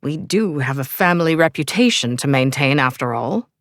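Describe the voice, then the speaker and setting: A woman's voice: sits low, expressive, moderate pace, no room sound, clean studio sound. A woman voicing a teasing young male vampire with an English accent, playful and mocking. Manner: playful and mocking, sing-song.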